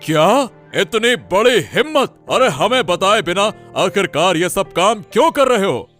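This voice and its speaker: Guy doing a bossy indignant king, fairly high voice, music behind, cartoon style. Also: lively intonation, measured pace, fairly bright tone. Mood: outraged. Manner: commanding. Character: stern, indignant king scolding his servant. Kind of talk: Hindi cartoon story voice acting.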